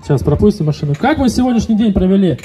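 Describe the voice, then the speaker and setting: A man in his thirties hosting a car audio contest outdoors on a handheld mic, loudly calling to the crowd and announcing results. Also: sits high, animated, very fast. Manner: loud and rousing, calling to the crowd. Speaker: event host.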